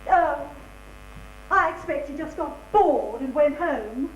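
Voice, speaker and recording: A woman's voice: sits high, animated, slow pace. A woman on stage in an amateur comedy, playing a clingy, insecure, melodramatic lover with a tearful, wailing delivery, in an echoey theatre. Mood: fretful. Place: theatre stage, recorded live. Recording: echoey hall recording with faint hum.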